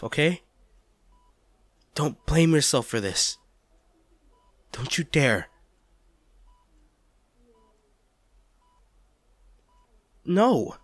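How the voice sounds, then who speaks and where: A man's voice: on the high side, fairly bright tone, dry recording, studio quality, heavily acted. A man playing a devoted, protective partner in an intimate audio drama, tender and reassuring as he comforts an injured loved one. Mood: firm, upset. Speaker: audio roleplay performer.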